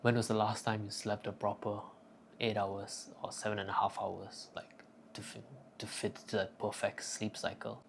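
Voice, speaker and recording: Cocky, profane young man with gravelly low voice. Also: slight background noise. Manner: cocky, profane, joking bravado. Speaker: self-improvement vlogger. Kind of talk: casual crude advice monologue.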